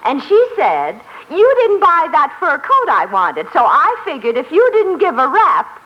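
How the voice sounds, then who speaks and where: A woman playing an excitable, wisecracking neighbor wife in a 1950s radio comedy, with a teasing, expressive delivery. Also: sits high, unhurried, dull audio, heavily acted. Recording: noisy old radio before studio audience.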